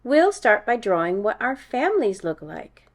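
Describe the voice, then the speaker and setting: A woman's voice: mid-range voice, sing-song, moderate pace. A woman voicing a cheerful schoolteacher giving instructions to a young class in a children's storybook. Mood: cheerful, instructive.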